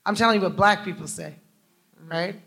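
A woman's voice: fairly low pitch, a little fast, creaky, fairly bright tone. A woman journalist moderating a conference panel, asking long, rambling questions with emphatic asides.

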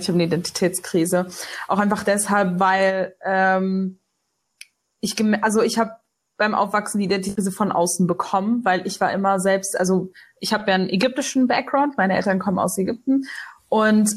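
Lively young woman from Berlin. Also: mid-range voice. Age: 20s. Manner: lively, colloquial, laughs easily. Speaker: podcast guest. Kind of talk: casual podcast chat.

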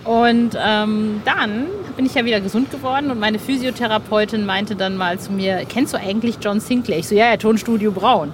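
A woman's voice: mid-range voice, moderate pace, hardly any pauses, background noise. A woman hosting an interview, telling stories in a chatty, enthusiastic way with many restarts. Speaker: podcast host. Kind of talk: casual interview chat.

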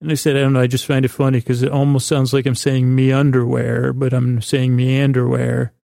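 A man with a creaky voice hosting a sleep podcast, rambling in a halting, meandering way and often stumbling over his words. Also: medium pitch, little pitch movement, warm tone, no echo, studio quality. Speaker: podcast host. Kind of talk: rambling bedtime-story sleep podcast monologue.

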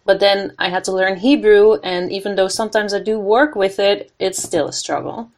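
Woman interviewer, medium pitch. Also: fairly even intonation, moderate pace, darkish tone. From Netherlands. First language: Dutch. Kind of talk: casual interview.

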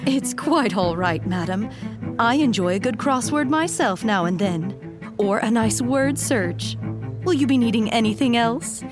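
A courteous servant in a noir audio drama, with music behind her. Her voice is fairly high, and her manner is mild, helpful and deferential. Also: animated, a little slow. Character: courteous, obliging female servant, eager to help. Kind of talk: scripted gothic noir audio drama acting.

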